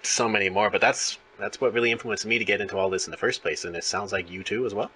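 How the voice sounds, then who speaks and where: A man's voice: fairly low pitch, fairly even intonation, quick pace, bright, forceful tone, slightly rough. A man hosting a podcast interview, chatting casually in a friendly, enthusiastic, somewhat rambling way.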